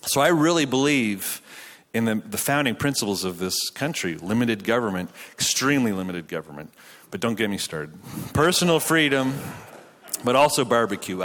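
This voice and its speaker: In a big hall with long reverb, a Canadian man speaks at a middling pitch. He preaches like a pastor chatting with his congregation, informal and self-deprecating, with a joking streak. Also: a bit bright. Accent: Canadian English. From Quebec, Canada. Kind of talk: conversational sermon to a live audience. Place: large hall, speaking to an audience.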